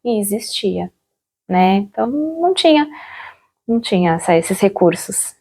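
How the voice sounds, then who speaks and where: A woman's voice: mid-range voice, big pitch swings, unhurried, a little mellow, slightly rough. A woman speaking in an informal, reflective way, as in a personal spoken testimony.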